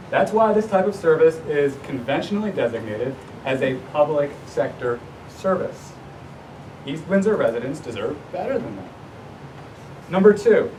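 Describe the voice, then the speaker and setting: Male resident for formal, earnest public-comment speech; deliberate emphasis, recorded at a distance with rumbling background noise. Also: a little mellow. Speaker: resident addressing a town council. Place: indoor public council meeting. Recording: distant mic, noisy room with low rumble.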